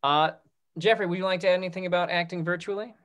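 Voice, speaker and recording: A man around his thirties moderating a casual question-and-answer session on a video call, restarting phrases in a halting way. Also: high voice, fairly even intonation, fairly bright tone. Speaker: moderator of a panel discussion. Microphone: video call computer microphone.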